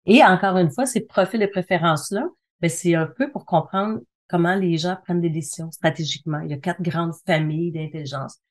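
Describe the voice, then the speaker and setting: A woman's voice: lowish voice, a little fast, few pauses. A middle-aged woman with a Quebec French accent speaking as an interview guest, explaining things conversationally and repeating phrases for emphasis. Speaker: interview guest, communication consultant and trainer.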